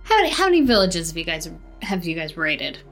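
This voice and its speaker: A woman's voice: on the low side, sing-song, fairly quick, fairly bright tone, faint music behind, heavily acted. A woman playing a nagging, cheeky young male recruit in a tabletop game, questioning and talking back. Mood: curious. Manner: pestering, sarcastic back-talk.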